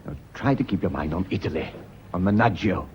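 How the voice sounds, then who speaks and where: An actor playing an earnest British schoolmaster and lover, persuasive and reassuring with a nervy edge, in a radio drama. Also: male voice, low-pitched, animated, fairly quick. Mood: coaxing, impatient. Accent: British English. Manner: persuasive, reassuring, by turns tender and exasperated. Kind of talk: British stage-style radio drama acting. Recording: dull, hissy old radio recording.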